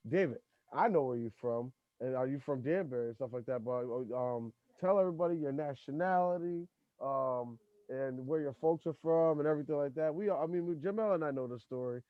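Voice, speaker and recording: A man's voice: fairly high pitch, fairly quick, no room sound, narrow, tinny audio. Casual, profane male podcast host. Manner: loose, profane, talks in restarts.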